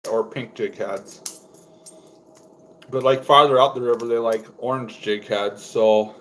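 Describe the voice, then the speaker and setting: A young man from Wisconsin talks in a low, relaxed voice, filling his sentences with "like" as he rambles. He sounds mid-pitched for a man, chatting to viewers on a phone livestream from home. A faint hum of noise sits behind him. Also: measured pace, low-quality recording. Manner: rambling, relaxed, lots of "like" fillers. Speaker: fishing livestreamer. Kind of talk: casual livestream chat while crafting lures. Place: indoors at home.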